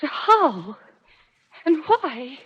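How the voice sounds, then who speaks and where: Distressed woman, vintage radio drama. High voice with big swings, dull old recording. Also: big pitch swings, very slow, heavily acted. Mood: alarmed, distressed. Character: distressed woman alarmed by dying birds. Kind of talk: 1940s radio acting.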